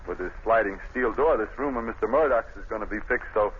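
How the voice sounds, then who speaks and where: A man's voice: on the low side, quick pace, lightly acted. An actor playing a chatty, deferential working man, an electrician, in a folksy 1940s radio style. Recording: tinny, hissy old radio recording.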